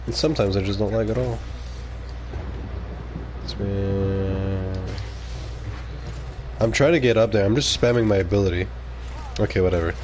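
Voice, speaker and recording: A man's voice: fairly low pitch, normal speed, pauses often, a little mellow, slight background noise. A man whose first language is French, streaming live and talking casually while he plays, reacting aloud and muttering asides to viewers. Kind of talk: live gameplay commentary.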